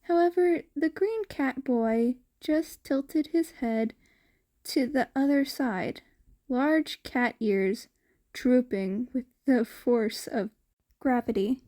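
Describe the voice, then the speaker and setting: A woman's voice: on the high side, slow pace, mellow. A woman reading fan fiction aloud as scripted story narration.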